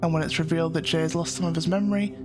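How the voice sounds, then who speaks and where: A man narrating a scripted analytical video essay as its host, in a measured, explanatory manner. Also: fairly high pitch, fairly even intonation, over music.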